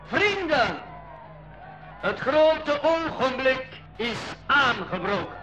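Coldly courteous cannibal island leader, muffled sound. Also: male voice, very high-pitched. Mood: proclaiming, exultant. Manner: formal, unhurried, coldly courteous. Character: calm, cruel male island leader of polite cannibals. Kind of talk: 1980s school radio drama acting. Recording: dull, muffled radio recording.

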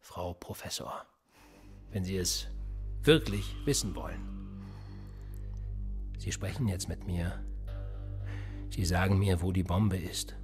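An actor playing a cynical, sly police interrogator in a radio play, with an insinuating, coolly threatening manner. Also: male voice, medium pitch, big pitch swings, fairly quick, soft background music, natural voice. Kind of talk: naturalistic German radio play acting.

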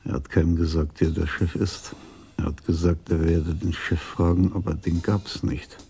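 An actor playing a shrewd older police commissioner in a radio drama, reasoning methodically and calmly. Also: male voice, low-pitched, moderate pace, slight background noise. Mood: reflective, shrewd. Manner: methodical, reflective.

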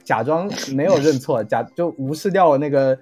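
A man chatting casually as an interview guest, halting and a little self-deprecating. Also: a little high, expressive, a crowd in the background. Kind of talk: casual anecdote and chat.

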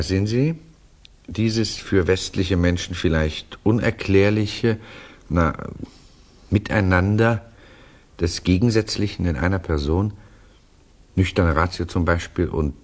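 A deep, husky, reflective narrator. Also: male voice. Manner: measured, reflective, explanatory. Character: thoughtful male narrator explaining Russian souls to Westerners. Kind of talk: 1960s German radio drama, conversational narration.

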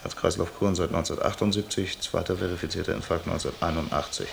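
An actor in a radio drama playing a matter-of-fact emergency doctor who reports a case in a clipped, clinical way. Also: male voice, sits low, steady tone, very fast, gravelly, slight background noise. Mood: businesslike, urgent.

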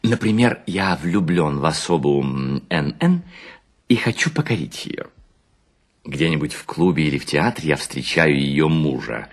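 A man's voice: rumbling low, lively intonation, a little slow, heavily acted. An actor playing a worn-out balding seducer, suavely lecturing on his sly craft in a theatrical literary monologue, savoring words and humming between them. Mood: smug, confiding.

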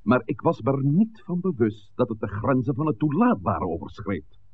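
An actor playing an authoritative village priest in a 1960s radio drama, speaking in a measured, formal, admonishing way. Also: male voice, medium pitch, big pitch swings, breathy edge. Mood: stern. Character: authoritative village priest, composed, stern peacemaker. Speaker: pastor. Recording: dull, noisy 1960s radio recording.

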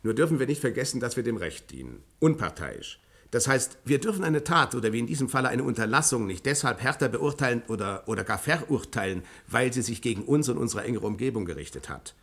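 An actor playing a formal, fair-minded court president in a radio play, with a husky, creaky voice and a measured, official manner. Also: male voice, medium pitch, big pitch swings, fairly bright tone. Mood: earnest, appeasing. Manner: measured, official, hesitant when pressed. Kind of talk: German radio play acting.

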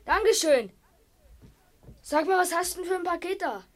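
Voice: very high voice, lively intonation, moderate pace. A performer in a radio play playing a stoic, lonely schoolboy, thoughtful and matter-of-fact. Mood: calm, resigned.

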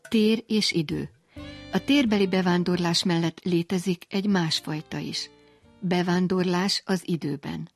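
A woman's voice: lowish voice, fairly even intonation, creaky, faint music behind. A woman working as a radio presenter, giving scripted introductions and putting questions to guests.

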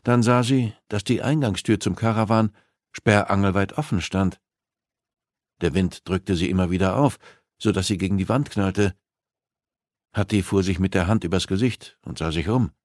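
Low-voiced man narrating suspense fiction. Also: moderate pace, darkish tone, no echo, studio quality. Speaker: audiobook narrator. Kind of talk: scripted dramatic narration of a suspense novel.

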